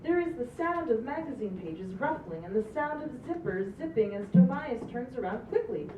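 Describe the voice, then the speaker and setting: A woman's voice: fairly high pitch, very animated, normal speed, mellow. Distant woman reading stage directions. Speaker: reader of stage directions at a table read. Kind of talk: reading script directions aloud. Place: room at a group table read. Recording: roomy, distant, dull sound with noise.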